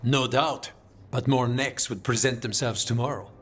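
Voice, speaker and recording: A man voicing a composed, calculating assassin leader in a video game, with a measured, formal delivery. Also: mid-range voice, steady tone, fairly bright tone, natural voice. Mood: dry, composed.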